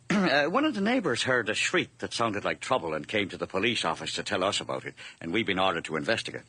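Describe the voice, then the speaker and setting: Very animated, folksy night watchman. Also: fairly low pitch, fast talker, slightly rough, no room sound, full character voice. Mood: inquisitive. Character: plain-spoken, folksy night watch officer. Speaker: actor in a radio drama. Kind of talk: old radio drama acting.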